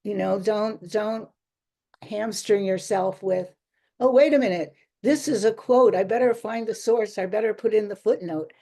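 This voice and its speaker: A woman's voice: mid-range voice, moderate pace, dark tone. Chatty woman author, rambling answers. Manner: chatty, rambling, laughs often. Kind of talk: casual interview answers.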